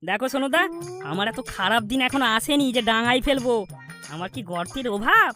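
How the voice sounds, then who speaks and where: Woman doing a high-voiced cheeky cartoon troublemaker lad. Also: animated, brisk, music in the background, natural voice. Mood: defiant. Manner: brash, defiant. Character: cheeky, crude young village male troublemaker. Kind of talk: exaggerated cartoon dialogue.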